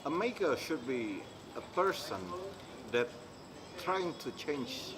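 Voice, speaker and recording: A middle-aged man from Indonesia, speaking haltingly in an interview and often restarting as he searches for words. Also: on the high side, animated, a crowd in the background. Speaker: interviewee, maker. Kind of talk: casual interview talk. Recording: clear background noise, insects.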